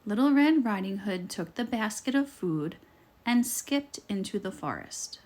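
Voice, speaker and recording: A woman reading a scripted children's fairytale aloud in a deliberate, expressive storytelling manner. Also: medium pitch.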